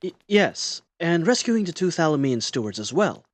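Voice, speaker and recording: Animated, higher-voiced man playing a gentlemanly adventurer. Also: normal speed, dry recording. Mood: earnest. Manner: formal, theatrical phrasing. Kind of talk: fantasy audio roleplay acting.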